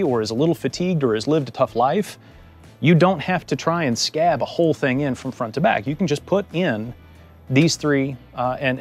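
A man in his thirties, hosting his own car build channel, casually explaining his work with fillers and restarts, filmed by a nearby camera. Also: mid-range voice. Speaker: car builder and shop host. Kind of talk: casual how-to walkthrough. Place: outdoors under a truck, then a home garage.

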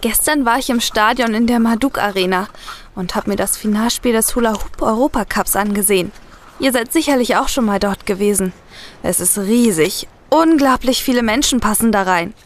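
A woman's voice: on the high side, normal speed, close to an everyday voice. A young woman playing a chatty, slightly hesitant client who seeks detectives' help in an audio drama. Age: young adult.

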